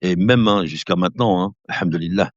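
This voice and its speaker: A man in his late fifties talks casually on a live stream, calling his viewers brother and laughing as he rambles. His voice sits very low. The sound is dull and lo-fi, dry and close with no room around it. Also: fairly quick, dry recording. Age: 50s. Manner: chatty, addresses viewers as brother; laughing often, relaxed rambling.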